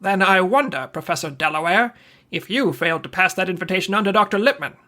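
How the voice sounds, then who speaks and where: An actor playing a wry, dogged private investigator in the hard-boiled style of 1940s radio drama. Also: male voice, high-pitched, lively intonation, fast talker, pushed and bright. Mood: wry, needling. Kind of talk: 1940s radio detective acting and narration.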